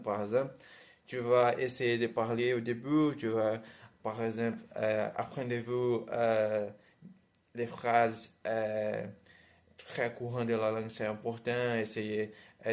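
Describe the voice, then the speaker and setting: A man speaking with a Portuguese accent, as a native Portuguese speaker, talks in a steady, mid-pitched voice with little rise or fall. He sounds like a teacher addressing viewers directly with encouragement. His speech is full of hesitations, fillers and self-corrections. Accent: Portuguese-speaking, Brazilian. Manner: hesitant, many "euh" fillers and restarts. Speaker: language teacher. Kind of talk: casual vlog advice to learners.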